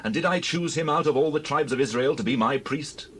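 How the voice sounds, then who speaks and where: A man's voice: on the high side, fairly quick, slight background noise, close to an everyday voice. An actor playing a stern holy man delivering divine judgement in a dramatized scripture reading, solemn and declamatory.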